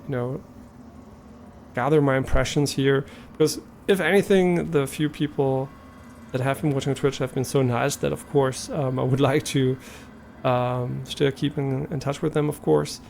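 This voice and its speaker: A man's voice: mid-range voice, gravelly, darkish tone, a little hiss or hum. A man from Germany, a native German speaker with a German accent, chatting casually and a bit hesitantly to viewers as a live streamer, thinking aloud as he goes.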